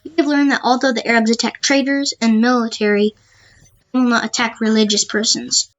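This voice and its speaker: A woman playing a calm, confident male monk in an amateur audio play, with measured, formal delivery. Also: a little high, close to an everyday voice. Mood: earnest, respectful. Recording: dull lo-fi home recording.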